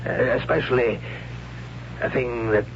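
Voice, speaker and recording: An actor playing an awed, reluctant man of a primitive future, speaking haltingly and solemnly in 1970s radio drama style. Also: medium pitch, fairly even intonation, gravelly. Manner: halting, solemn, hushed dread. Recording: dull, noisy 1970s radio recording.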